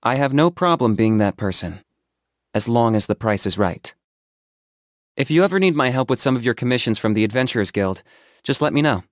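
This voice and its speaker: An actor playing an aloof, pragmatic young hunter in a video game, speaking in a calm, reserved, matter-of-fact and dryly sardonic way. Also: male voice, medium pitch, fairly quick, no echo, clean studio sound, lightly acted. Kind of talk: video game character voice acting.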